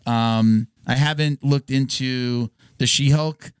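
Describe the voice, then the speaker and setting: A man co-hosting a podcast, his voice hoarse today. It sounds smooth and close, recorded dry in a studio. He talks casually and stumbles through sponsor reads. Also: mid-range voice, steady tone, dry recording. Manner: reads ads in a quick, stumbling way. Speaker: podcast co-host.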